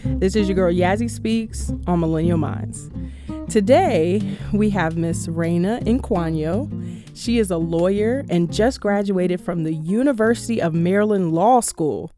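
A woman's voice: lowish voice, a little slow, music in the background. A woman hosting a conversational radio interview, speaking effusively to her guest.

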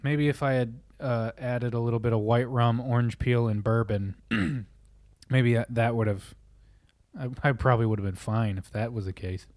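A man co-hosting a casual chat, talking loosely and profanely with many restarts, his voice a little hoarse and raspy. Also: mid-range voice, steady tone, normal speed, a little mellow, no room sound.

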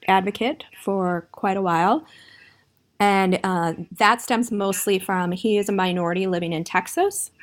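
A woman speaking as an activist guest, steady and thoughtful with occasional fillers. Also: medium pitch. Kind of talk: casual spoken perspective.

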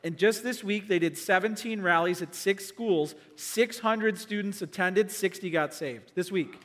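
A man's voice: high-pitched, unhurried, fairly bright tone, a little hiss or hum. A male pastor giving church announcements, upbeat and enthusiastic, with many "um"s and "uh"s.

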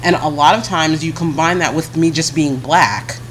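A woman co-hosting a casual podcast chat, talking bluntly and frankly in a deep, heavy voice she keeps a little higher than her natural one. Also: normal speed, a little hiss or hum, rough recording. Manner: blunt, frank, swears, laughs often. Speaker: podcast co-host.

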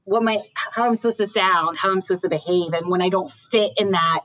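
A woman's voice: lowish voice, fairly quick, poor audio. Woman telling stories in casual conversation. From Ohio, USA. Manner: animated storytelling, acts out quoted people.

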